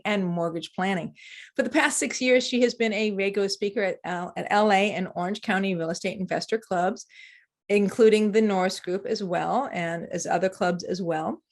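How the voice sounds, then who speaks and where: A woman hosting a podcast interview, lively and quick with a joke or an aside. Her pitch sits in an ordinary middle range for a woman. She speaks casually, as if chatting with a friend. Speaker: podcast host.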